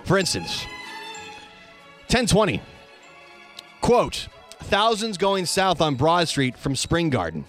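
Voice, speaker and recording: A man with a notably high voice hosts a talk show. He reads quoted reports and times aloud with emphatic, announcer-style delivery. Faint music plays behind him. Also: sing-song, slow, long pauses, a bit bright. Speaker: radio show host. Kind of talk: reading police dispatch quotes aloud on a comedy-tinged talk show.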